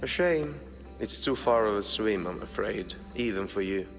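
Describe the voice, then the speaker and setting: An actor playing a sly, smooth-talking scheming patron in a video game, suave, measured and condescending. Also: male voice, lowish voice, lively intonation, fairly bright tone. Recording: long reverb, music underneath.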